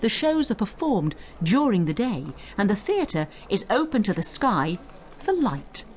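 Lively, expressive woman narrator. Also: medium pitch, a little slow, slight background noise. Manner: instructive, expressive storytelling. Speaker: study guide narrator and tour guide. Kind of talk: scripted educational narration, acted as a guided tour.

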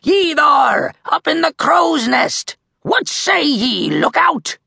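A woman's voice: on the low side, sing-song, very slow, vocal fry, fairly bright tone, breathy edge, no room sound, clean studio sound, pitched above the performer's own voice, full character voice. A woman voicing a blustering, bossy boy pirate captain from a children's storybook, bellowing theatrically in a stage pirate accent. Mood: menacing.

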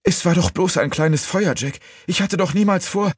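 A man's voice: high voice, moderate pace, breathy edge, no echo, studio quality, voice pushed up. A man voicing a desperate young servant woman in an audiobook, pleading and stammering. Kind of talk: audiobook character voice.

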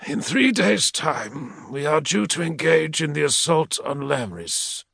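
A man's voice: fairly high pitch, big pitch swings, unhurried, vocal fry, fairly bright tone, husky, dry recording, clean studio sound, pitched above the performer's own voice, exaggerated voice. A man doing a growling, menacing warlord's aide. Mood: cold menace. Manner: growling through a respirator, threatening. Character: crippled male war lord's equerry, cold and menacing.

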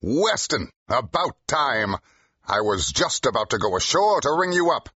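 Impatient, forceful film director. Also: male voice, mid-range voice, sing-song, a little slow, slightly rough, dry recording, exaggerated voice. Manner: snappy, commanding. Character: impatient, zestful film director in his thirties. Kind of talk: full-cast audiobook acting. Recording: dull, slightly muffled audio.

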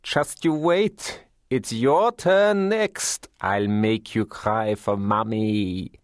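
A man's voice: lowish voice, dry recording, clean studio sound. A man voicing a large, domineering brothel hostess in an audiobook, commanding and scolding with playful menace. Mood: teasing menace. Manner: commanding, scolding, drawn-out threats. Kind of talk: dramatised audiobook reading.

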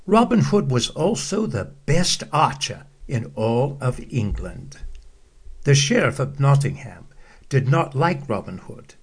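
Slow, husky man reading a bedtime story. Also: medium pitch. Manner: slow, expressive storybook reading with acted-out quotes. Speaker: narrator.

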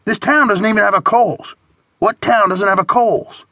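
A man's voice: high voice, steady tone, normal speed, sharp tone, dry recording, voice pushed up. A man voicing a pushy, bored, childish friend in audiobook dialogue, whining and exclaiming. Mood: indignant complaining.

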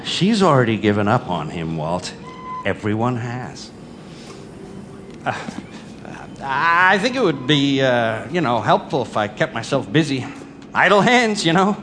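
Intense grieving father, swinging wildly in pitch. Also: very animated, noisy background. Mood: bitter, numb. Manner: intense, theatrical, halting repetitions. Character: grief-maddened father and obsessive scientist, desperate, brooding. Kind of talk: live audio drama acting with monologue narration. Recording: live stage recording with music underneath.

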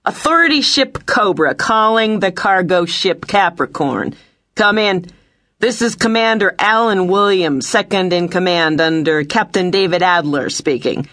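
A woman's voice: on the low side, lively intonation, a bit bright, voice pushed up. Woman acting a stiff male starship commander. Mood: official hail. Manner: deliberate, official, stiff.

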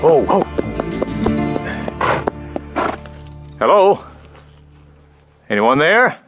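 A self-assured Texas Ranger in a western audio drama. He talks plainly and to the point, yet his pitch moves freely, with faint music behind him. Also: big pitch swings, slow, fairly bright tone, no echo, full character voice. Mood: easygoing, calling out.

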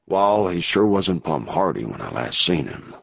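A man putting on a low, drawling outlaw boss. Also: big pitch swings, creaky, husky, dry recording, exaggerated voice. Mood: wary drawl. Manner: slow frontier drawl. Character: gruff scheming male outlaw leader. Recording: dull lo-fi audio.

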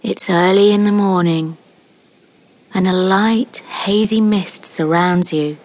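A woman narrating a scripted guided meditation in a calm, soothing, unhurried manner, leaving long pauses between phrases. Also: medium pitch, poor audio.

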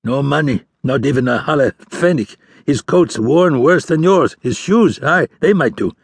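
A man voicing a gruff, bossy older fisherman with a put-on who barks orders. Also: fairly high pitch, lively intonation, normal speed, dry recording, pitched above the performer's own voice, heavily acted. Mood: commanding. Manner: barking orders, scolding.

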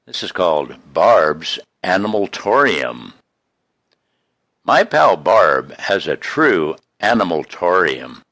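A man reading his own poems in an expressive, folksy, homespun way, with casual introductions between them. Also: deep voice, takes it slowly, dry recording. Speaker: poet reading own work.

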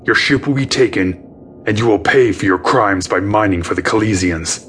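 A man's voice: fairly low pitch, normal speed, a bit bright, soft background music, natural voice. A man voicing a stern, commanding male alien emperor in an audiobook. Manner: cold, commanding.

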